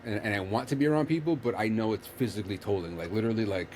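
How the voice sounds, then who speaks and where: A man's voice: fairly low pitch, rapid-fire, darkish tone, a little hiss or hum. A man hosting a casual chat, explaining earnestly while rambling and restarting his sentences.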